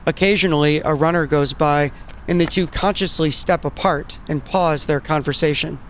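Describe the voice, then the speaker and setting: A man's voice: on the high side, steady tone, normal speed, a bit bright, a little hiss or hum. A man reading cinematic scripted narration as a storyteller. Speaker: narrator and player.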